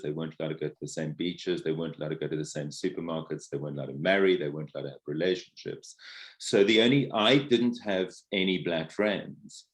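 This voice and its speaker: A middle-aged man from Africa, a podcast guest talking reflectively about his life, with many fillers and restarts. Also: deep voice. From South Africa. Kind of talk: casual interview conversation.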